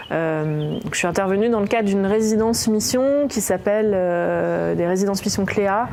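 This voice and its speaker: A woman artist photographer explaining her work in an interview, measured and searching for words. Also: medium pitch, a little mellow, slight background noise.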